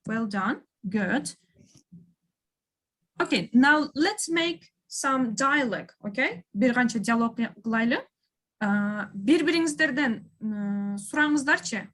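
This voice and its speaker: A woman's voice: a little high, fairly bright tone. A woman teaching English, encouraging her students and switching between languages as she explains and prompts.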